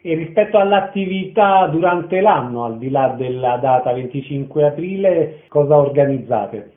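A man's voice: fairly high pitch, expressive, moderate pace, narrow, tinny audio. A man hosting a radio interview, putting questions and presenting items to listeners.

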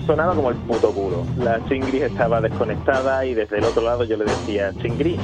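A jaded rock musician looking back on a disastrous tour, as a man plays him. His mid-pitched voice stays matter-of-fact even through crude detail. Faint music plays behind. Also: fast talker, lightly acted. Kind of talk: mockumentary radio drama testimony.

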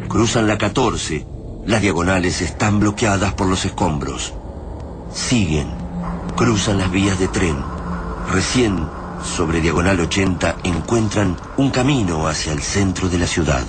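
A man's voice: sits low, expressive, a little slow, background noise. A man with an Argentine accent giving solemn, suspenseful scripted narration for a radio drama. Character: solemn, suspenseful male storyteller of a science fiction radio play. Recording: narration over prominent music.

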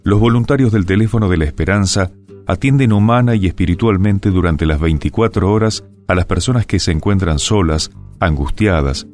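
A man reading a scripted public service radio announcement, with soft guitar music behind him. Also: sits low, fairly quick. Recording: music bed under the voice.

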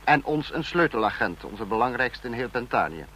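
An actor playing a cold, authoritarian state leader in an old radio play, speaking in a clipped, lecturing, imperious manner. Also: male voice, medium pitch, very animated, moderate pace, pushed and bright, a little hiss or hum. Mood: curt, commanding. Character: cold authoritarian male supreme leader of a fictional police state.

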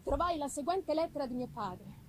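A woman giving an expressive, deliberate dramatic reading of literary prose, with weighty pauses. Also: high-pitched, a little hiss or hum. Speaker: reader of a classic novel. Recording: live musical accompaniment behind the voice. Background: live music.

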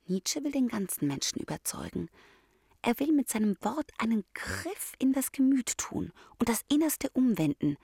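Husky, breathy reflective woman. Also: on the high side, very animated, moderate pace, mellow, no room sound, studio quality, full character voice. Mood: intent. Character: reflective woman intellectual voicing her letters and thoughts, intense. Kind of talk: intimate radio drama monologue.

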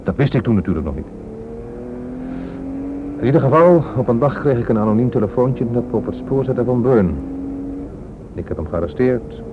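A man's voice: mid-range voice, expressive, moderate pace, long pauses, lightly acted. A man acting a weary, corrupt police inspector in a radio play, frankly explaining and justifying himself. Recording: muffled lo-fi radio recording, strong noise.

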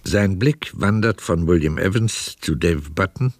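A man narrating a radio play in a measured, literary, descriptive way. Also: low voice, lively intonation, a little hiss or hum.

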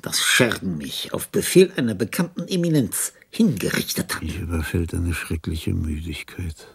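A sick old artist in a radio drama monologue. The voice is low and badly husky, with a gravelly creak. He is morbid, fussy and brooding, speaking with theatrical weight. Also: expressive, moderate pace, fairly bright tone, dry recording, exaggerated voice. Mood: haunted whisper. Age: older. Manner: theatrical, brooding, slips into whispering.

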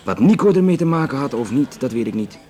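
An actor playing a courteous, flirtatious Flemish police detective in a naturalistic radio drama, speaking hesitantly with dry humour. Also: male voice, medium pitch, sing-song, fast talker, warm tone, lightly acted. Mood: matter-of-fact, grim. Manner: hesitant, dryly joking, conversational.

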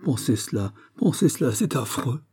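A man's voice: medium pitch, normal speed, mellow, breathy edge. Man voicing a desperate, pleading young court maiden. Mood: despairing. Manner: impassioned, breathless exclamations and pleading.

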